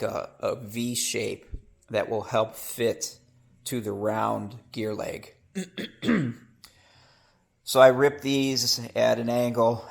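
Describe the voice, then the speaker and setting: Brief: man with a cold, low gravelly voice, casual rambling shop-talk vlog. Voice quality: rough, gravelly. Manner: rambling, self-correcting, with hesitations. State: has a cold. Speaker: homebuilt aircraft builder. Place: home workshop.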